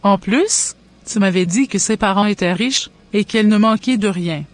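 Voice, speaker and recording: A woman's voice: medium pitch, moderate pace, close to an everyday voice. A woman as a pious, cautioning mother. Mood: cautious, admonishing. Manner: admonishing. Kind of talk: scripted cartoon dubbing.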